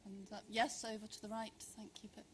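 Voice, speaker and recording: A woman chairing a live talk, briskly and politely calling on audience members for questions. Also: medium pitch, flat delivery. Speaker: event chair. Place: event venue before an audience. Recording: distant voice with background noise.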